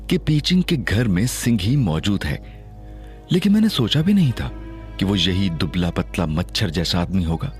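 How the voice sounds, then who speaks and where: A man narrating an audio drama in first person and playing a cheeky, mock-innocent young hero. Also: fairly low pitch.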